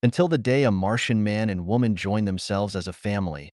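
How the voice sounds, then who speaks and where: A serene Martian gentleman voiced by a man reading a story addresses listeners in a low, cultured tone. The speech is clear and solemn, recorded dry. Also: brisk, no echo, close to an everyday voice. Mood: serene, stately.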